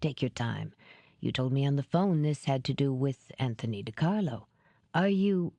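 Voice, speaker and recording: A woman voicing a powerful older businessman in an audiobook, courteous, calculating and formal in a measured way. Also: very deep voice, expressive, mellow, no room sound. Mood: kindly.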